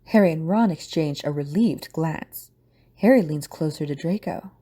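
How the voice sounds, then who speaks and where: Husky lady narrator, higher pitched but soft and mellow, telling a story over some music. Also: normal speed. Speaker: narrator and host. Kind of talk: scripted audio drama narration.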